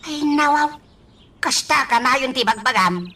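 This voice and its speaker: Grumbling old father, wildly swinging pitch. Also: expressive, measured pace, pushed and bright, heavily acted. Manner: grumbling, repeats a plaintive exclamation. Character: complaining elderly father, a weary laborer. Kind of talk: Ilocano radio drama acting. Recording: music behind the voice.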